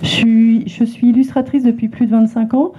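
A woman's voice: a little high, little pitch movement, normal speed, dark tone, breathy edge. A woman illustrator presenting her work at a library talk, hesitant with many restarts. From France. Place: library event room with small audience. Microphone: handheld microphone passed around. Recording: tinny phone-like sound.

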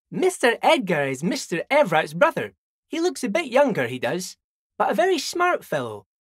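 A man's voice: sits high, no echo, clean studio sound, heavily acted. An actor playing a friendly, simple-minded old dockworker in a video game, folksy and rambling, repeating himself as he chats. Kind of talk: video game character dialogue.

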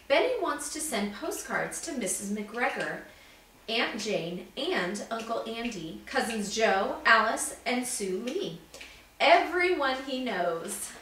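A woman's voice: a little high, fairly bright tone. Woman reading as helpful girl, very echoey. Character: helpful schoolgirl heading out sightseeing. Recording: echoey room, distant mic, background noise.

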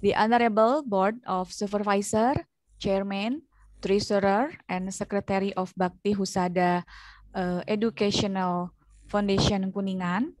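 A woman's voice: mid-range voice, fairly even intonation, moderate pace, darkish tone, no room sound, low-quality recording. A young woman with an Indonesian accent, hosting an online lecture formally on a headset mic in a small office. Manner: formal, reading announcements, mixing English and Indonesian. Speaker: event MC.

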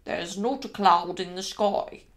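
A courteous Victorian manservant, put on by a woman narrator. He speaks in a formal, measured and deferential way, as a character in a storybook. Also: lowish voice, a bit bright, pitched below the performer's own voice. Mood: reassuring. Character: courteous, formal male Victorian household servant.

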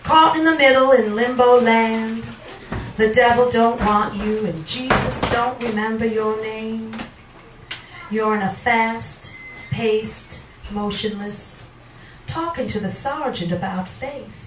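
A middle-aged woman reading poetry live in a theatrical, deliberate manner into a handheld microphone in a small bar room. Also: fairly high pitch. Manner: theatrical, slow and deliberate when reciting. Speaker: poet, featured reader. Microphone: handheld microphone, captured by a camera in the room. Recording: roomy, noisy bar recording from a distance.

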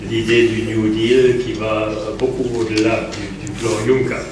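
A man chairs a conference session in a brief, courteous manner. His voice comes from a distance in a very echoey hall. A steady background hiss runs beneath it. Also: lowish voice, a little slow. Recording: echoey room from far off, noisy.

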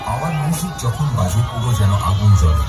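A very raspy man shouts a DJ promo with big swings in pitch. Music and some crowd noise sit behind his rough voice. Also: very animated, fast talker.

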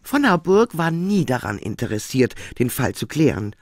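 A man's voice: medium pitch, sing-song, moderate pace, dry recording, clean studio sound. An actor playing a sober male police colleague in a radio crime drama, reporting in a factual, matter-of-fact way.

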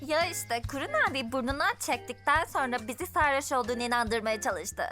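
A woman's voice: high voice, expressive, fairly quick, pushed and bright, soft background music. A woman dubbing a mean, foul-mouthed teenage girl who mocks and sneers in a cartoon. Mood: sneering. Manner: sneering, crude, mocking.

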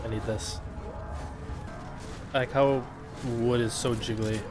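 A man's voice: medium pitch, fairly even intonation, slow pace. A young man chatting casually with friends while playing a shooter game as a streamer. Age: young adult. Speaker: gaming streamer. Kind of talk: casual gameplay chat with friends. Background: loud game gunfire and music.

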